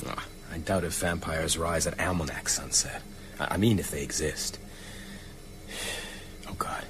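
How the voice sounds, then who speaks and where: An actor playing an earnest, nervy doctor in a radio drama, speaking hesitantly and urgently. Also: male voice, extremely low pitch, measured pace, background noise. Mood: nervous, urgent. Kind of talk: naturalistic radio drama acting.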